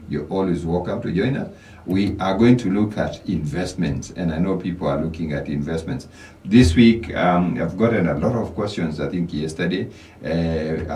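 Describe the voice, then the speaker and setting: A man's voice: deep voice, darkish tone, echoey room, recorded from a distance, poor audio. A Kenyan man, a financial coach, giving motivational advice as a radio guest, often repeating words as he starts a thought. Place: radio studio.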